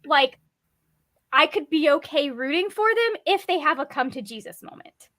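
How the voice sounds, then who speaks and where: A woman co-hosting a casual book discussion over a video call, animated and halting, with restarts and laughs. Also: sits high. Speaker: book club co-host.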